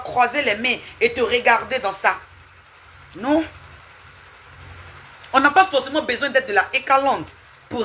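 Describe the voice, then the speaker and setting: A woman's voice: high voice, pushed and bright. A woman live streaming, lecturing and scolding someone with repeated rhetorical questions and asking viewers for hearts.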